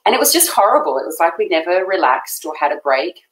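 Australian lady on a video call, low voice, lots of laughs, roomy echo. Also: big pitch swings, fast. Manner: warm, effusive, laughs often. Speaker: guest. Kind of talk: casual chat. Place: home room. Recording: echoey room on video call.

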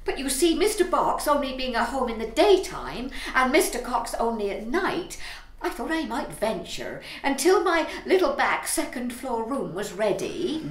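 A woman's voice: on the high side, sing-song, normal speed, reverberant. A woman playing a flustered, placating Victorian boarding-house landlady in a stagey comic audio play. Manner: placating, coaxing.

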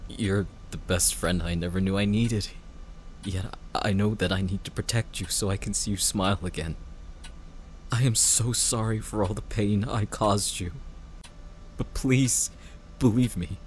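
A man playing a remorseful young secret agent and devoted best friend in an emotional audio roleplay, earnest and halting. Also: lowish voice, slight background noise, natural voice. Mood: sorrowful, tender.

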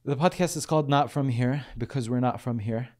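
A young man hosting a podcast, asking calm, open questions and adding short affirmations. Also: mid-range voice, fairly quick. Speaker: podcast host. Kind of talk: casual interview hosting. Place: small podcast studio indoors. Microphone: boom-arm studio mic.

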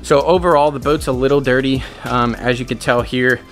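An upbeat young man chats straight into a handheld camera, full of "guys" and easy fillers as he walks through a how-to. His voice sits at an ordinary middle pitch and is recorded outdoors with faint music underneath. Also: fairly even intonation, a little fast. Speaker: boat detailing business owner. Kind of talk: casual how-to vlog. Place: outdoors at a sunny marina. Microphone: handheld camera at arm's length.

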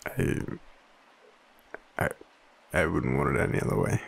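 An Australian man playing an overwhelmed, devoted, self-deprecating partner in an intimate audio roleplay, speaking haltingly with sighs. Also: rumbling low, animated, vocal fry, breathy edge, full character voice. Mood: apologetic, hesitant. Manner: halting, hesitant, sighing.

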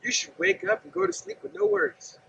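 A man in his thirties preaches in an earnest, conversational way. His voice sits very high and is heavily gravelly, with a bright, tense edge and lively swings in pitch. He stands outdoors some distance from the camera, with a faint open-air hum behind him. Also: a little fast, muffled recording. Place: outdoors by a gravel lot and fence.

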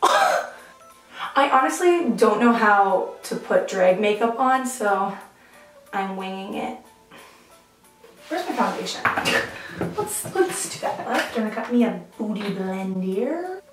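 A woman's voice: mid-range voice, big pitch swings, slow, pauses often, distant mic. A young woman chatting casually through her makeup routine, playful and self-mocking, often laughing at herself, filmed on a camera mic in a small tiled bathroom. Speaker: beauty vlogger. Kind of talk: casual makeup vlog chat. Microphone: camera mic at arm's length. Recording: echoey bathroom, light background music.

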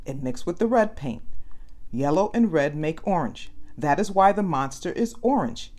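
A self-important young boy detective from a storybook, read by a woman in her own low register. He sounds matter-of-fact and deliberate, stressing his points with a lively melody. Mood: explaining proudly. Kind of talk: storybook character voice.

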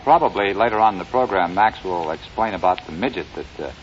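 A man's voice: low voice, lively intonation, quick pace. A man hosting and interviewing in a polished, formal 1950s radio announcer style. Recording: dull, noisy old radio recording.

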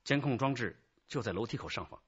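A man's voice: mid-range voice, animated, fast, lo-fi sound, slight background noise, natural voice. A man playing a commanding detective chief with a probing, authoritative manner in a radio crime drama. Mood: deducing. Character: commanding male police detective chief leading an investigation.

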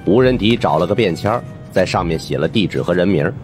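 A man narrating a supernatural mystery audiobook in the first person, in an expressive storytelling style. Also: low voice, quick pace. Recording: music under the voice.

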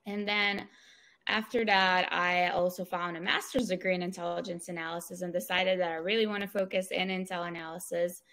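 Woman, thirties, measured with fillers, earphone mic on a video call. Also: fairly low pitch, steady tone, fairly bright tone. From Ukraine, raised in Israel. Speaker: podcast guest. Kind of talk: casual interview answers. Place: small room at home. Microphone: wired earphone mic on video call.

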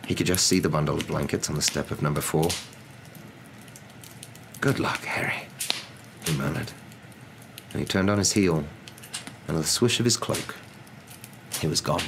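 Man, young adult, deep voice, hushed ASMR book narration, light background noise. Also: fairly quick. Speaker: narrator reading a novel aloud.

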